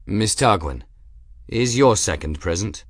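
A man's voice: fairly low pitch, lively intonation, no room sound. A man acts out a dignified feline warrior for an audiobook. He speaks formally and with ceremony, like an official. The sound is dry and the delivery grave. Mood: solemn formality. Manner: measured, ceremonious. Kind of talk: audiobook character voice.

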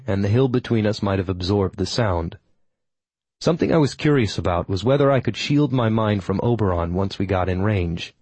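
A man reads a fantasy story aloud in the first person, keeping his delivery steady and even. His voice sits low and sounds warm and slightly husky. The recording is dry and close, with no room sound. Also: no room sound. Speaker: audiobook narrator.

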